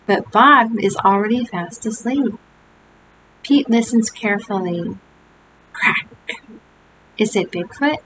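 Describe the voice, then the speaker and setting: An older woman reading a picture book aloud to young children, with gentle chatty asides. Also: mid-range voice, takes it slowly, long pauses. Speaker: storybook reader. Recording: home recording with steady hiss.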